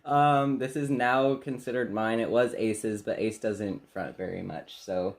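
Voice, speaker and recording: A soft-spoken young man co-hosting a casual get-to-know-you chat in a small bedroom, laughing often and speaking candidly. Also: on the low side, steady tone, unhurried. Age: young adult. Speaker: channel co-host.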